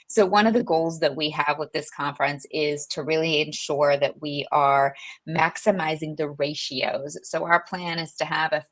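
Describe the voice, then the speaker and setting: Female interviewer, low register, polished business presenter delivering prepared remarks. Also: a bit bright. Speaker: interviewer and publishing executive.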